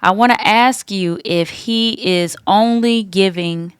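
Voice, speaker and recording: A woman's voice: mid-range voice, slow, clear, resonant tone, no echo, studio quality. An American woman co-hosting a podcast, chatting casually and affirming what the guest says. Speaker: podcast co-host. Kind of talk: casual chat, affirming listener.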